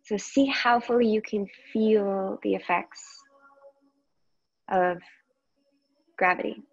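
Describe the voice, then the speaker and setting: A woman yoga teacher guides a meditation in a mid-pitched voice. She speaks slowly and gently, leaving long gaps between short phrases. The recording is somewhat muffled and lo-fi. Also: fairly even intonation, vocal fry.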